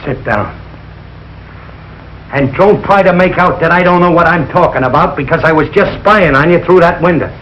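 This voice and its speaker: A man's voice: on the high side, normal speed, a bit bright, close to an everyday voice. An actor playing a blustering, suspicious working-class father with blunt, ungrammatical talk in a 1940s radio sitcom. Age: middle-aged. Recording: muffled, noisy old radio recording.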